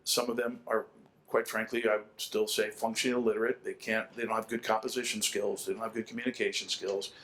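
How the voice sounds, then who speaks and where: A middle-aged man with a fairly low voice argues his case at a panel discussion. His pitch stays quite flat while he leans on repeated phrases to press his opinion. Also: fast, a little hiss or hum, rough recording. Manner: opinionated, emphatic repetition of key phrases. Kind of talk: extended spoken argument at a panel discussion.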